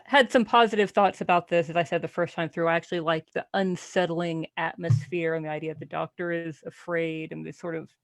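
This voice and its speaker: A woman's voice: on the low side, creaky, no room sound. A woman on a discussion panel casually talking about a book, dry and wry, feeling her way through phrases. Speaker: podcast panelist.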